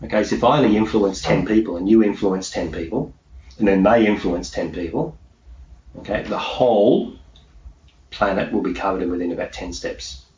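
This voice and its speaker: A man's voice: deep voice, normal speed, darkish tone. A middle-aged man answering interview questions as a motivational coach, emphatic and self-assured, repeating key phrases for effect. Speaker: peak performance hypnosis coach being interviewed. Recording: echoey room, distant mic, dull sound, faint noise.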